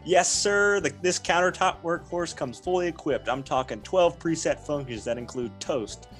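Man putting on a hokey, overeager salesman dad, pitched up. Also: normal speed, a bit bright, soft background music, lightly acted. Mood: hard-selling. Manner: folksy, emphatic sales patter. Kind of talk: podcast parody ad skit.

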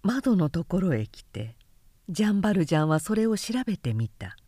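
A woman narrating literary fiction aloud as an audiobook, with measured, deliberate phrasing and pauses. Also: fairly low pitch, very animated, mellow, breathy edge, dry recording, clean studio sound. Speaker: narrator reading a novel aloud.

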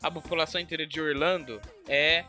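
A man's voice: fairly high pitch, steady tone, brisk, pushed and bright, soft background music. A Brazilian man joking around in a casual podcast chat, teasing and laughing often. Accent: Brazilian Portuguese. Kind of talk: casual banter.

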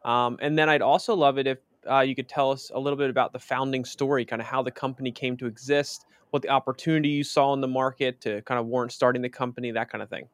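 A man hosting a podcast, upbeat and smooth as he asks his guest questions. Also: mid-range voice, fairly even intonation, fast talker, hardly any pauses, dry recording. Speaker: podcast host. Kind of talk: podcast hosting and sponsor read.

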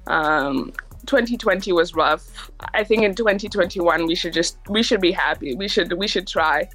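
Chatty young woman, slightly low voice. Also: moderate pace, a bit bright, faint music behind. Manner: chatty, lots of "like" fillers, laughs easily. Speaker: recording artist, interview guest. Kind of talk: casual interview chat.

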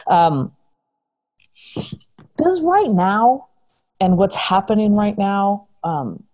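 A woman's voice: mid-range voice, sing-song, drawn-out words, warm tone. A woman host talking in casual conversation, making her points emphatically with illustrative examples.

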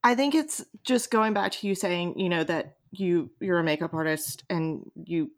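A woman's voice: on the low side, moderate pace. A woman hosting a podcast in casual, informal, emphatic conversation. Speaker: podcast host. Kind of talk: casual chat.

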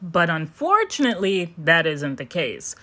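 A young adult woman hosting a casual podcast, rambling and animated as she recaps anime, with frequent restarts and casual swearing. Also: lowish voice, a little slow. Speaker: podcast host.

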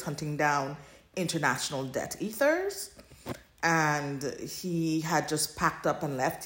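A woman with a low-pitched voice talks casually, like a podcast co-host retelling a story to a friend. Her delivery is informal and conversational. The recording is roomy, with noticeable echo around her voice. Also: fairly bright tone. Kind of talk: casual chat, recapping fan fiction.

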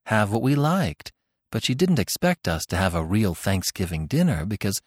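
A man voicing a patient, gentle young farm girl who speaks doubtfully. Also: on the low side, big pitch swings, normal speed, no echo, clean studio sound.

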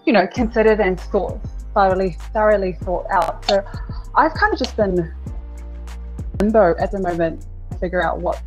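A woman's voice: mid-range voice, moderate pace, long pauses, faint music behind, low-quality recording. A woman in her thirties from New Zealand, a business owner talking candidly as a podcast guest, chatty with frequent laughs and repeated words. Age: 30s. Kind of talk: casual interview chat.

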